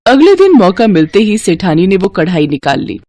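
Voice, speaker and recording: Female storyteller for scripted moral tales, medium pitch, very dry recording. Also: sing-song, moderate pace, dark tone, no room sound.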